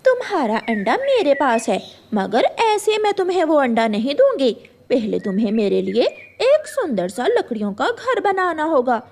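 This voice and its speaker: A woman's voice: sits high, sing-song, normal speed, a little mellow. A woman voicing a sly, demanding female crow in a children's cartoon. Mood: bargaining. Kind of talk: Hindi children's cartoon voice acting.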